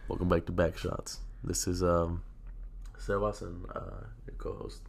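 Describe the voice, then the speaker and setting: A man's voice: deep voice, level tone, measured pace, creaky, slight background noise. A young man co-hosting a podcast in casual chat, sick and coughing, talking in a loose, profane, rambling way. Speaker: podcast co-host.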